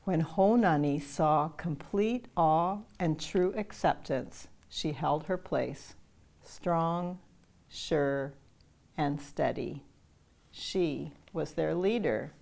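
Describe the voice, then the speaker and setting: An older woman reading a children's picture book aloud, slow and measured, chanting the story's calls and repeated lines. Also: low-pitched, creaky, darkish tone. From Canada. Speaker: storytime reader.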